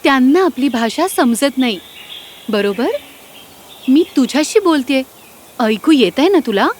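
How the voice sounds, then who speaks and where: A woman voicing a sweet, curious young shepherdess princess in an animated fairy tale, in an open, gently cheerful manner. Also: sits high, unhurried, a little hiss or hum. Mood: friendly, curious.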